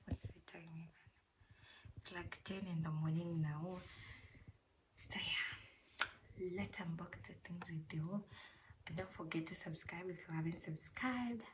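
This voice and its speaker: A woman vlogger, croaky from just waking up, talking in a soft half-whisper with lots of "like" fillers in a casual voice-over from her home kitchen. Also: lowish voice, unhurried, pauses often, background noise. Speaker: lifestyle vlogger.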